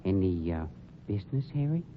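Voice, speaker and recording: An actor in an old-time radio crime drama playing a suave, sardonic funeral director with polished, condescending delivery. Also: male voice, deep voice, very animated. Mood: dry sarcasm. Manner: polished, sarcastic, condescending, deliberate diction. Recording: dull, noisy old radio recording.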